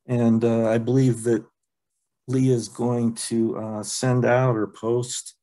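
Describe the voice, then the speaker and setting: A man presenting a software training webinar over a video call, relaxed and halting, with many ums and uhs. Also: medium pitch. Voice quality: plain, unexciting voice. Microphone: computer mic on a video call.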